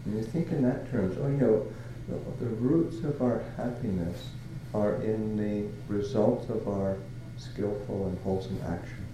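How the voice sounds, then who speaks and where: Older monk from Canada, low voice, halting delivery full of fillers, echoey noisy room. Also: male voice. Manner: halting, many fillers and restarts, chuckles. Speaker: Buddhist monk. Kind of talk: dharma talk.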